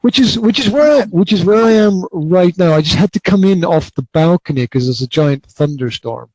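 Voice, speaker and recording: Scottish man, high voice, halting chat. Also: animated, darkish tone, no echo, low-quality recording. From north of Scotland. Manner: stammering restarts, frequent laughter. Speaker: podcast host. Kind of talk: casual chat. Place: flat indoors.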